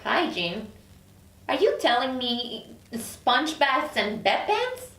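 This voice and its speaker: High-voiced indignant career woman played by an actress. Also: sing-song, takes it slowly, fairly bright tone, exaggerated voice. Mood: incredulous, sarcastic. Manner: sarcastic, incredulous. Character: proud, indignant career woman newly arrived in hell. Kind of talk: script table read. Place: echoey room, recorded from off. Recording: roomy, distant mic, faint noise.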